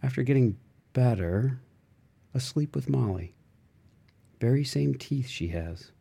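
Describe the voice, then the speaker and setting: A man reading a literary novel aloud in a measured, expressive way with dramatic phrasing. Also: mid-range voice, creaky, breathy edge. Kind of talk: literary audiobook narration.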